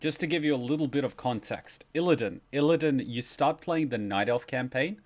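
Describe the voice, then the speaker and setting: A man's voice: mid-range voice, a little fast. A man explaining a game's story in casual, chatty, lightly joking reaction commentary. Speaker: streamer explaining game story.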